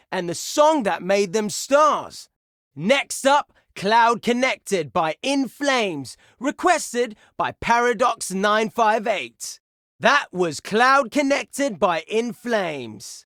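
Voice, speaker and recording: An actor playing a brash, excitable heavy metal radio DJ in a video game, announcing songs and listener requests in a punchy, showy style. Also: male voice, high voice, no room sound, studio quality, full character voice. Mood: cool, assured.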